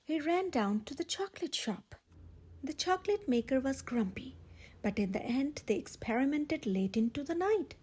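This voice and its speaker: Woman, high-pitched, breathy, children's read-aloud, storytime host, dramatic emphasis. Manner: expressive, dramatic emphasis with drawn-out words.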